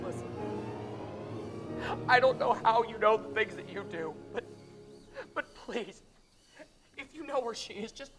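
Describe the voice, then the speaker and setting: A man's voice: high voice, unhurried, long pauses, over music. A young man playing a desperate man who stammers and pleads, on a theater stage at a stand mic. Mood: pleading. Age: young adult. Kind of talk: live radio play acting. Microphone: vintage stand mic on stage.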